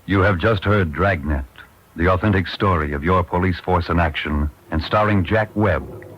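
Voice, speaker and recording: A man's voice: deep voice, moderate pace, slight background noise. A man with an American accent announcing formally in 1950s radio style. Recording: muffled old radio, music behind.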